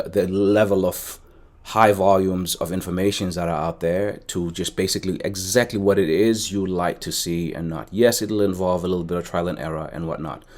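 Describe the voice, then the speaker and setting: A man with a low, deep voice and a hard-to-place accent that blends South African with American. He talks through a relaxed on-screen tutorial, meandering, often pausing on ums and starting sentences over. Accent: South African mixed with American. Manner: rambling and relaxed, with frequent ums and restarts. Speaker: sports fan and educator giving a tutorial. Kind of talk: casual screen-share tutorial.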